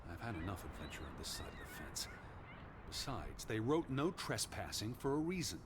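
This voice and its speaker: An actor playing a cautious, earnest American gentleman naturalist of the 1920s in a video game, proper and reluctant in manner. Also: fairly low pitch, big pitch swings, normal speed, background noise. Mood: wary.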